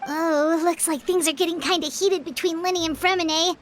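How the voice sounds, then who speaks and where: Very high, squeaky fairy companion. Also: female voice, fairly even intonation, fairly quick, a little hiss or hum. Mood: concerned. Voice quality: squeaky, childlike. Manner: stammering, refers to herself by name. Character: small childlike fairy companion, chatty, eager, easily flustered. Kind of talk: animated game voice acting.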